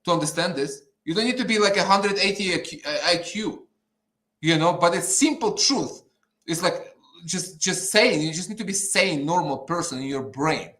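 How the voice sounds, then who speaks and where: A man's voice: sits high, animated, moderate pace, fairly bright tone. A man with an Eastern European accent ranting in conversation, blunt and fired-up, swearing and repeating himself. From Ukraine, former Soviet Union. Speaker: guest, petition campaigner. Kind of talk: impassioned conversational rant. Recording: roomy, echoing sound.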